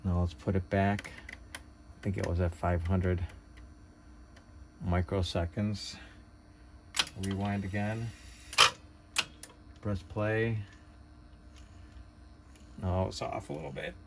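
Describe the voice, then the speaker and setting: Low-voiced man giving a casual demo. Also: fairly even intonation, takes it slowly, vocal fry, pauses often, noisy background. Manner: hesitant, unpolished, thinking aloud. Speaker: hobbyist demonstrator. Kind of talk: casual hands-on tutorial narration.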